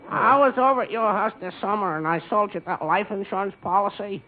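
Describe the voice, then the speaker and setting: A man's voice: high voice, expressive, brisk. A man playing an eager, simple-minded insurance salesman in a 1950s radio comedy. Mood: eager, earnest. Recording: tinny old radio recording with hiss.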